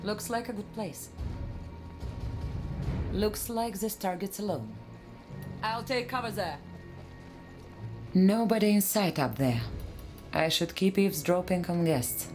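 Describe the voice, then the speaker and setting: A woman's voice: mid-range voice, slow pace, long pauses, lightly acted. A woman playing a cool, watchful sniper agent in a video game. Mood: focused, alert. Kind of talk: video game dialogue and unit responses. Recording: music playing behind the voice.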